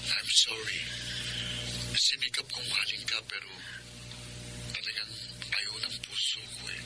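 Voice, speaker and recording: A man playing a callous, smooth young swindler boyfriend in a Filipino radio drama. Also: on the low side, steady tone, measured pace, poor audio. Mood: coolly apologetic. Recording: loud noise under the voice.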